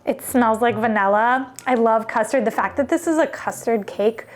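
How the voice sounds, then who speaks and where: A woman's voice: fairly high pitch, moderate pace. A woman hosting a cooking show, chatting casually and exclaiming as she cooks and tastes in a kitchen. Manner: exclamatory, conversational. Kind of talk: casual food vlog chat. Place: indoor kitchen.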